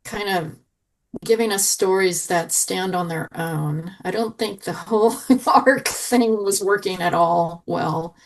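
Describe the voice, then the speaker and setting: A woman with a slightly low voice speaks from well back off the microphone. She talks casually and thoughtfully as a podcast panelist, often circling back over her phrases. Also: slow, gravelly, recorded from a distance, slight background noise, rough recording. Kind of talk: casual, reflective discussion.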